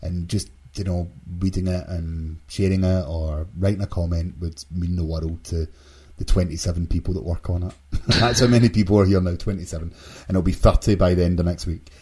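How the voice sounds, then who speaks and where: Male podcast host. Low, mellow, slightly husky voice; casual, joking group chat. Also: steady tone, brisk. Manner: jokey banter.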